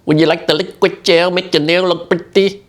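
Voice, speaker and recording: A man putting on a soft, nasal Vietnamese-accented English voice of a nail salon worker. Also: high voice, steady tone, brisk, pitched above the performer's own voice, close to an everyday voice. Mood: coaxing. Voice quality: nasal, gentle.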